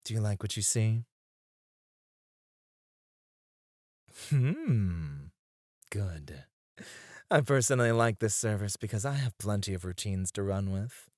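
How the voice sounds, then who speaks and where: A man's voice: on the low side, lively intonation, unhurried, darkish tone, no echo. Man acting as suave male stripper, teasing, self-assured, swooping intonation, dry close recording, ASMR roleplay. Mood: businesslike flirting. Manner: teasing, suave, breathy asides and sighs. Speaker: ASMR voice actor.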